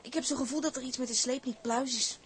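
An actress playing a bold, stubborn seafaring wife in a Dutch period drama, with a firm, plain-spoken way of talking. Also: female voice, sits high, fairly even intonation, fast talker, slight background noise, close to an everyday voice. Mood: uneasy.

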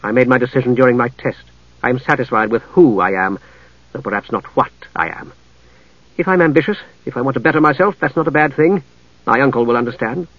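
A man voicing a young mage, quiet and bitter, with a cool, restrained delivery in a fantasy audiobook. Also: medium pitch, fairly quick, dry recording, dull audio, lightly acted. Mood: resolute.

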